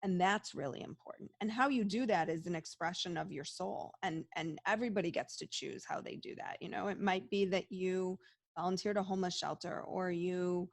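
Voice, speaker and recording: Guest voice: middle-aged woman, lowish pitch, dry close sound, thoughtful and candid. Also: steady tone, fairly quick, creaky, no echo. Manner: open, reflective, many restarts. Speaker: interview guest, psychologist and medium. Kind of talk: casual interview talk.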